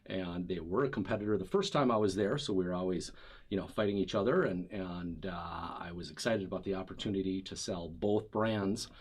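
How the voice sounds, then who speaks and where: Husky, joking Wisconsin man. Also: deep voice, brisk. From Wisconsin, USA. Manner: relaxed, joking, rambling with fillers. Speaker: paddle company sales rep. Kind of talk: casual interview chat. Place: indoors, at a table with drinks.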